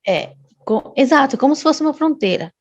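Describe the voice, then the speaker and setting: A woman's voice: high voice, animated, fairly quick, dry recording, highs cut off. A woman, a guest telling a long personal story in casual chat, often restarting and adding tag questions. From Brazil.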